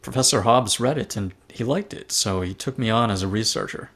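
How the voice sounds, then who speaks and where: A man's voice: on the low side, a little fast, fairly bright tone, husky. A man playing an eager, modest young female student researcher, chatty and self-deprecating, in a sci-fi table read. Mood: friendly, modest.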